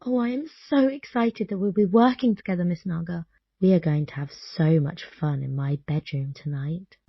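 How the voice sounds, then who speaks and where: A woman's voice: on the low side, moderate pace, creaky, husky, highs cut off. A woman voicing a polite, adoring and eager young apprentice woman in a comedic audio drama. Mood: excited. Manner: courteous, earnest, sweet. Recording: loud background noise with ambience.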